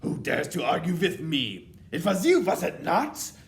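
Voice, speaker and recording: A man's voice: medium pitch, expressive, unhurried, creaky, a bit bright, slight background noise, voice pushed up. A man voicing a tyrannical, furious female leader of witches in a storybook, shrieking commands and rhetorical questions. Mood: cold fury. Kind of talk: children's storybook read-aloud.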